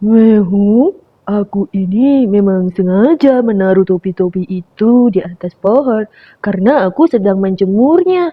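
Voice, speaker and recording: A woman voicing a calm motherly cow who speaks gently but firmly. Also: medium pitch, a little slow, narrow, tinny audio, lightly acted.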